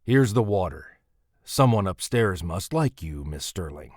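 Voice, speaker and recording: Low, authoritative military guard. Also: male voice, animated, unhurried, background noise. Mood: composed. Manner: controlled, authoritative. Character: composed military guard, male, curt and official. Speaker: voice actor. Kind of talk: survival horror audio drama acting.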